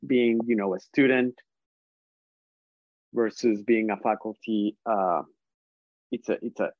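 A man speaks English with a Colombian Spanish accent, Spanish being his first language. He answers at an unhurried, reflective pace, often pausing with fillers and restarting phrases. The audio is somewhat dull and lo-fi, with no room sound at all. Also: lowish voice, no echo. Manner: thoughtful, hesitant, many restarts and fillers. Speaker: professor, guest. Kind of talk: conversational interview answers.

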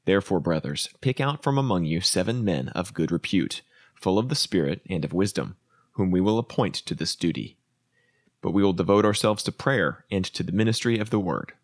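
Over a video call, a man with a very low voice reads Bible passages at a slow, steady pace. Between readings he adds short, understated replies to his co-host. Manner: reads slowly and evenly, brief low responses. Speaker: podcast co-host reading scripture. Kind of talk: scripture reading and casual chat.

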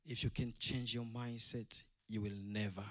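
A man's voice: mid-range voice, flat delivery, normal speed. A middle-aged man from Rwanda, preaching an impassioned sermon as a pastor in an emphatic, repetitive way. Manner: emphatic, repetitive, swinging between quiet asides and loud declamation.

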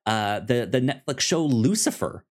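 A man co-hosting a casual TV review podcast, talking in a halting way with frequent stammers and restarted phrases. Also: mid-range voice, animated. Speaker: podcast co-host.

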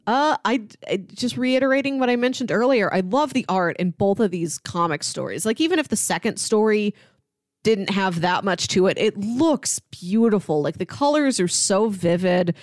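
A woman with a mid-pitched voice talks casually as a podcast co-host. She explains things with enthusiasm, sprinkling "like" through her sentences. The sound is dry, with very little room in it. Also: lively intonation, normal speed, dry recording. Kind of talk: casual comic book discussion.

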